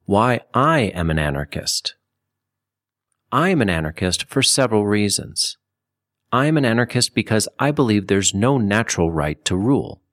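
A man reading a nonfiction book as an audiobook narrator, with measured, deliberate phrasing and emphasis. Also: lowish voice, very animated, a bit bright, no echo, studio quality.

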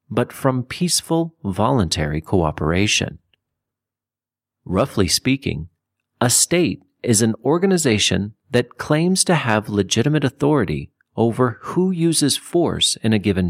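A man reading scripted nonfiction for an audiobook, with deliberate phrasing. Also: lowish voice, dry recording, studio quality. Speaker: audiobook narrator.

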